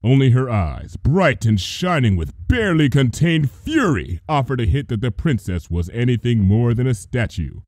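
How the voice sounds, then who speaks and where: A man reading fan fiction aloud as expressive, dramatic story narration. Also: mid-range voice, moderate pace, hardly any pauses, no room sound, studio quality.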